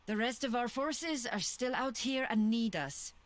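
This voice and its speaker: An actress playing a calm, resolute noblewoman army commander in a fantasy video game, speaking in a firm, measured way. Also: on the high side, no room sound, natural voice. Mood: commanding. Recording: under loud game music.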